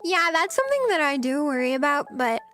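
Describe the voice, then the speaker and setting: A young woman streamer chatting casually with viewers in a soft, giggly voice, her throat a little scratchy. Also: sits high, sing-song, brisk, soft background music. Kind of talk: casual chat.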